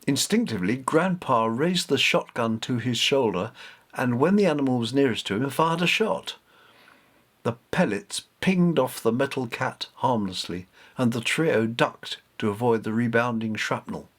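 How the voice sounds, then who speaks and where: A man narrates a scripted story as its author. His voice sits at a medium pitch. The intonation is animated, moving widely through the sentences. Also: normal speed. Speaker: author reading own novelette.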